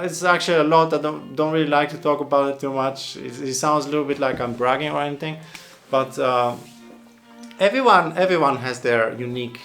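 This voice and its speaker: Male musician host, casual Q&A, reflective and meandering, middle pitch, soft music bed. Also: gravelly. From Bulgaria. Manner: thoughtful, rambling, many restarts and fillers. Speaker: oboist and channel host. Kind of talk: casual Q&A answering viewer questions.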